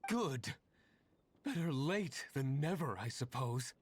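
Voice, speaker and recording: A man's voice: medium pitch, lively intonation, slow, slightly rough, dry recording. An actor playing a bitter, sarcastic, vengeful young male villager in a video game. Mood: scornful. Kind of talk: video game character dialogue.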